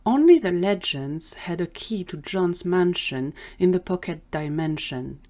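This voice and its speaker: A woman's voice: on the low side, expressive, measured pace, warm tone. A woman narrating scripted audio fiction. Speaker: narrator and performer.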